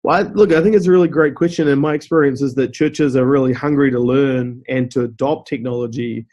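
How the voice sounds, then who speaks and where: A man from New Zealand with a New Zealand accent, answering interview questions in a steady, explanatory way and often saying "you know". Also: mid-range voice, a little fast. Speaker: guest, company CEO.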